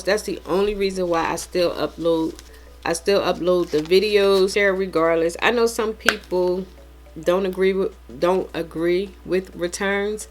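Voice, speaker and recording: A woman's voice: medium pitch, fairly bright tone. A woman in her forties with an American accent, chatting casually and informally to viewers as a vlogger and repeating points for emphasis. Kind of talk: casual unboxing chat to viewers.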